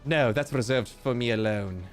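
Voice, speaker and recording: A man's voice: mid-range voice, normal speed, soft background music. A man streaming live, reading out viewer comments in a playful, theatrical way with mock-grandiose joking. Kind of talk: casual livestream chat reading viewer comments.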